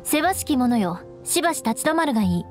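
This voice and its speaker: A woman's voice: high-pitched, sing-song, brisk, faint music behind. An actress playing a proud, cool young noblewoman in an anime game, composed and instructive with a haughty edge. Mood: grandly formal.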